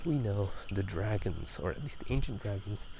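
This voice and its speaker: A man doing casual ASMR game talk in a soft, breathy half-whisper, musing in a halting, rambling way. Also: on the low side, lively intonation. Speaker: ASMR video host. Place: outdoors at night. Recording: noisy outdoor ambience. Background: drainage pipe water, passing cars.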